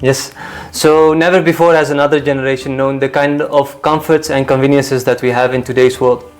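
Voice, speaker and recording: A young man, a guest being interviewed, who explains his views in a measured, reasoned way and often asks rhetorical questions. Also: medium pitch, steady tone, soft background music. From Iraq, raised in the Netherlands. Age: young adult. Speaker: guest, full-time volunteer. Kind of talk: calm explanatory interview talk.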